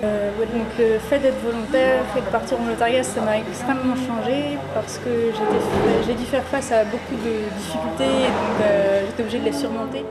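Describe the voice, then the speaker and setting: A woman's voice: a little high, fairly even intonation, normal speed, hardly any pauses. A young woman from near Nantes, a volunteer at a social centre, reflecting thoughtfully in an interview with frequent fillers. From France, near Nantes. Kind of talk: documentary interview. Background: background music.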